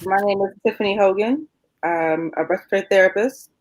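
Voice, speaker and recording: A woman's voice: medium pitch, a little fast, dry recording, poor audio. A woman in her thirties from New York, introducing herself casually as a respiratory therapist on a panel. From born and raised in New York.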